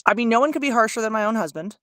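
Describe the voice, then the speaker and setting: A woman audiobook narrator with a low, fry-heavy voice, answering interview questions in a candid, self-deprecating, chatty way. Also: expressive, racing, a bit bright, dry recording, studio quality.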